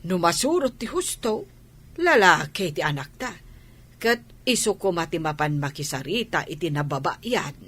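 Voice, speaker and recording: A woman's voice: on the low side, sing-song, normal speed, fairly bright tone, breathy edge, full character voice. A woman playing a worried, protective mother, pleading and reproachful, in a radio drama. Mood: firm, defensive.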